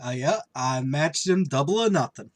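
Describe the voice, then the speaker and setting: A man playing a cheerfully dim-witted bungler in an old-time radio comedy, slow on the uptake and proud of himself. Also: a little high, animated, no room sound, studio quality. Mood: proud, oblivious. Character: Finnegan, dim-witted, cheerfully bungling male tavern regular.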